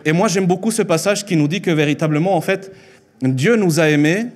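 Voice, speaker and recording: Mexican-born man preaching earnestly. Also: on the high side. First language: Spanish. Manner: earnest, repeats phrases for emphasis, slows down on key lines. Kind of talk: sermon. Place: church hall with a stage. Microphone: handheld mic.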